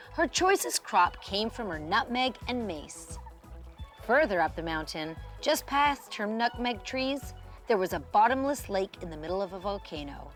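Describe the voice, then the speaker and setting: A woman host reading a children's storybook aloud in an expressive, animated way, stretching key words for effect. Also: medium pitch.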